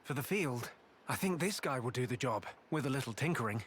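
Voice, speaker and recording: A man's voice: medium pitch, expressive, brisk, noisy background, close to an everyday voice. A man playing an earnest, thoughtful young hero in a video game.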